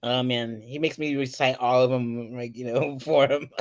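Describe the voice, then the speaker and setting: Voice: mid-range voice, moderate pace, muffled recording. A host of a comedy talk stream, asking questions and bantering with lots of laughter. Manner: giggly, animated, swearing banter. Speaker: podcast host. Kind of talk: casual interview chat.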